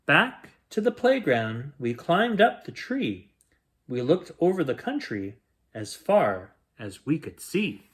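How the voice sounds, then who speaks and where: A man reading a rhyming picture book aloud as a bedtime story, in a gentle, expressive storytelling manner. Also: fairly high pitch, slow. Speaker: children's bedtime story reader.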